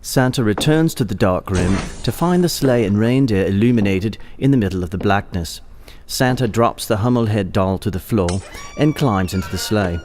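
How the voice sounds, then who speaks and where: A man's voice: on the low side, expressive, moderate pace, a little mellow, slight background noise. A man giving scripted, present-tense narration for an audio play.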